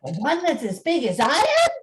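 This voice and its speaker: Young errand boy played by a woman: high, bright-eyed, polite, exclamatory radio play delivery. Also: huge pitch swings, normal speed. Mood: excited. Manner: eager, exclamatory. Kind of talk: amateur radio play acting.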